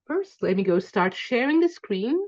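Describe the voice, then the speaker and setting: A woman's voice: medium pitch, very animated, moderate pace, darkish tone, muffled recording. A woman in her forties from Belgium, with a Belgian accent, teaching an online class in a chatty way with frequent ums and self-corrections. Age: 40s. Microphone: video call.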